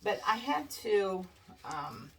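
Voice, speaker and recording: A woman's voice: mid-range voice, very animated, slow, creaky, a bit bright. A woman with a stuffy, congested nose chatting casually and anxiously to her viewers while making a wreath. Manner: chatty, worried, addresses viewers as guys. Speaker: craft vlogger. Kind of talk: casual chat while crafting. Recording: distant mic with faint background noise.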